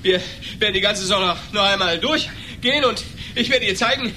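A taunting, combative man with a high voice for a male. He goads heatedly, tripping over and repeating words. The old recording has clear background noise. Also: a little fast, sharp tone. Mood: heated challenge. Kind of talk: radio play acting.

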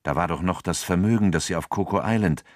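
An actor narrating a true-crime radio drama in a sober, scripted storytelling manner. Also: male voice, low-pitched, fast talker, dry recording, clean studio sound, close to an everyday voice.

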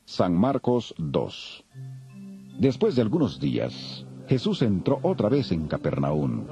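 A man narrating the Bible in a solemn, dramatic, scripted storytelling style. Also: lowish voice. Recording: dull lo-fi, music behind.

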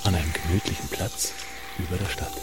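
An actor playing a laconic, eccentric squatter and machine pilot in a radio play, speaking in terse, insistent phrases over music. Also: male voice, deep voice, steady tone, fairly quick, heavy background noise, close to an everyday voice. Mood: calm. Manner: terse, insistent, repeats questions. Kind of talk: German radio play acting. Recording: heavy music bed under the voice.

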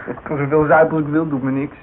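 A man's voice: fairly high pitch, lightly acted. An actor playing a vain, touchy, boastful young man who blusters defensively in a Dutch radio drama. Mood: smug, casual. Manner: defensive, blustering, stumbling over his words. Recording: tinny band-limited radio recording, faint hiss.

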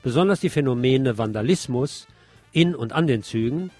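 A man's voice: medium pitch, expressive, moderate pace, darkish tone, soft background music. A man presenting scripted radio news, reading reports and adding wry, sardonic asides.

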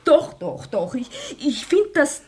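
A woman's voice: sits high, big pitch swings, slow, warm tone, exaggerated voice. A woman playing a long-suffering middle-aged wife in a German radio play, outspoken and querulous. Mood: reproachful.

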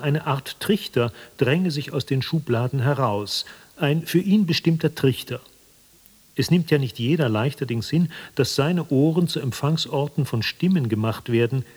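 An actor playing the narrator of an absurd literary radio drama, telling it in a husky, deliberate voice. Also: male voice, medium pitch. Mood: wry, deliberate. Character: male narrator of an absurd, anxious tale.

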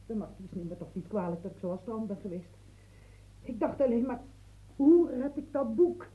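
A woman's voice: fairly high pitch, mellow, close to an everyday voice, low-quality recording. An actress playing a bustling, outspoken bookbinder woman who fusses and coaxes, in a radio drama. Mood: chattering. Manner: brisk, fussing, coaxing.

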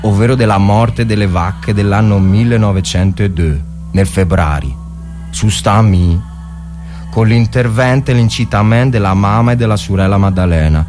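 A humble peasant soldier, acted by a male reader confesses in a letter home. The voice is pitched down, with a northern Italian dialect accent and a plodding, solemn, clumsily formal manner. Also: moderate pace, over music, close to an everyday voice. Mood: solemn confession.

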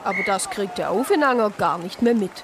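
An actress playing a reflective Protestant sister who narrates a crime story in a radio drama. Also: female voice, mid-range voice, animated, fairly quick, background noise, natural voice. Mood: wistful. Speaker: narrator and lead character.

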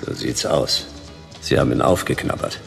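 An actor playing a laconic, world-weary detective who narrates and speaks tersely, with dry deadpan, in a radio drama. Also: male voice, a bass voice, normal speed, gravelly, faint music behind, exaggerated voice. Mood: dry, wry. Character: laconic, hard-boiled male sci-fi detective, wry and world-weary.

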